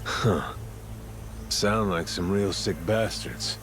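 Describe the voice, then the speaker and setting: A man's voice: on the low side, slow. An actor playing a brash, sardonic tough guy with a blunt delivery in a game cutscene. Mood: curious, blunt. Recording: music under the voice.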